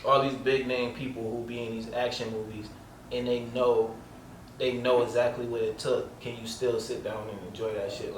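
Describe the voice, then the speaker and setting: Man with a light voice, echoey noisy room. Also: mid-range voice. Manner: loose and rambling, heavy on filler "like" and street slang. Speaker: podcast host. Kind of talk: casual interview chat. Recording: echoey room, mic at a distance, noisy background.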